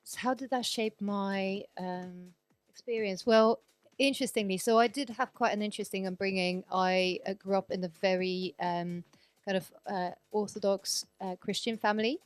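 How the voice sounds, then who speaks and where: A woman's voice: mid-range voice, fairly even intonation, moderate pace, smooth, dry recording. A woman in her thirties from Poland, raised in Sweden, talking as a guest DJ in a casual podcast interview, chatty and rambling, full of fillers and restarts.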